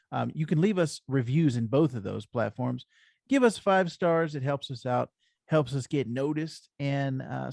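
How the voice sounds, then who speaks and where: A man's voice: mid-range voice, vocal fry, dry recording, studio quality. A man hosting a casual comics podcast with an upbeat, encouraging way of talking. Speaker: podcast host.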